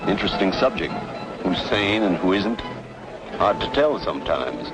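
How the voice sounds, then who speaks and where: An actor playing a calm, wry doctor in an old noir drama, with a measured, probing manner. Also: male voice, low voice, over music, poor audio. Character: urbane, inquisitive male doctor, calm and wry.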